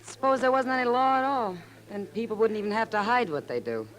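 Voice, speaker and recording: A woman's voice: fairly high pitch, animated, brisk, natural voice, poor audio. An actress playing a concerned, thoughtful frontier woman, speaking intimately in a 1950s radio western. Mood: troubled, reflective. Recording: old radio drama, murmuring crowd behind.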